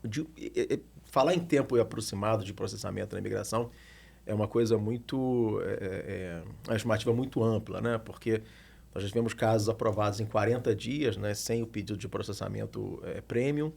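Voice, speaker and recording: A man's voice: lowish voice, a little fast, gravelly, a little mellow, slightly rough. A man with a Brazilian accent explaining as a lawyer on a podcast, repeating small words as he thinks. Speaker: immigration lawyer.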